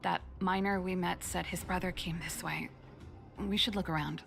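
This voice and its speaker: A woman's voice: on the low side, fairly even intonation, normal speed, creaky, over music. A woman voicing an emotional, fiery young woman scientist in a video game. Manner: emotional, pleading.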